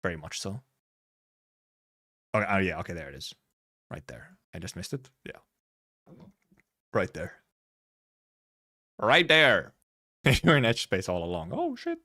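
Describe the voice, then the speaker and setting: A man's voice: low voice, big pitch swings, normal speed, long pauses, fairly bright tone. A man streaming a casual game trailer reaction, rambling playfully, repeating himself and swearing casually. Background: faint music.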